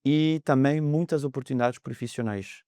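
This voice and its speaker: A man's voice: mid-range voice, moderate pace, dark tone, clear, resonant tone, dry recording, clean studio sound. A man in his thirties from France with a French accent, a guest on a podcast interview, talking in a hesitant way with many fillers. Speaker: mortgage broker guest.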